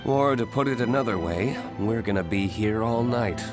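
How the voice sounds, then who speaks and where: A man playing an aloof, brooding young gentleman in an anime-style video game, deadpan and curt. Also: lowish voice, normal speed, lightly acted. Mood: dry. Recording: studio voice under game music.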